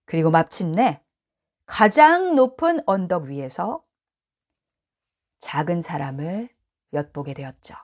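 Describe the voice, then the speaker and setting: A woman, a native Korean speaker, reading a children's story aloud bilingually in an expressive, deliberate storytelling manner. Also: on the low side. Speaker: storybook reader and translator.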